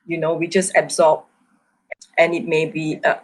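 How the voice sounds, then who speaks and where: A woman's voice: deep voice, monotone, normal speed, low-quality recording. Woman, discussion moderator, artist, tentative manner, conversational feedback talk. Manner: tentative, repeats "you know".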